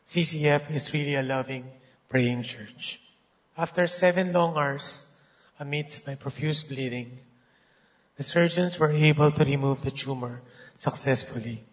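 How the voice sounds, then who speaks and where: A hoarse man with a slightly raised voice speaks earnestly, reading a prepared testimony of thanks to a church. The sound is a bit dull, and a long hall reverb trails his words. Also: a little high. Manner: earnest, grateful. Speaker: church member giving a testimony.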